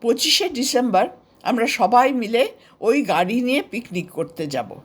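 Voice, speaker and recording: A woman's voice: a little high, animated, moderate pace, slightly rough, voice pushed up. A woman voicing an enthusiastic, proud uncle in a storybook, talking in a chatty, persuasive way. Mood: proud, eager. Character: enthusiastic uncle boasting about his bargain jeep.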